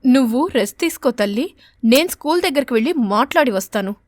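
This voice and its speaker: A gentle mother sparrow , played by a woman from an animated children's tale, with a fairly high voice. She coaxes and soothes tenderly, protective of her child, recorded dry and close. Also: fast talker, dry recording. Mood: reassuring, protective.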